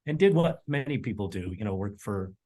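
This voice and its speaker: A middle-aged man, a chef whose first language was German, telling his family story in a relaxed interview with many ums and repeated words. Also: on the low side, fairly quick. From United States (Colorado). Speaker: chef and culinary educator, interview guest.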